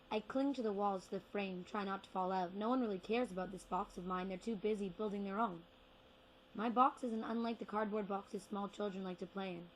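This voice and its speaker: A young woman performing spoken word poetry with deliberate, rhythmic phrasing and repeated words. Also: mid-range voice, a little hiss or hum, rough recording. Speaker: slam poet.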